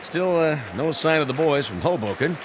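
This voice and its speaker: A man's voice: fairly high pitch, expressive, normal speed, a crowd in the background, noisy background, lightly acted. A man playing a jaded, wisecracking baseball announcer in a radio drama, with showy, sardonic commentary. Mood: showy, upbeat.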